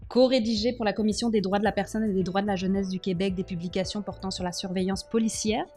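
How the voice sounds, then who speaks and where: A woman's voice: mid-range voice, fairly even intonation, quick pace, warm tone. Woman, podcast host, polite, hesitant, formal interviewing, faint music underneath. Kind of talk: formal interview with prepared questions.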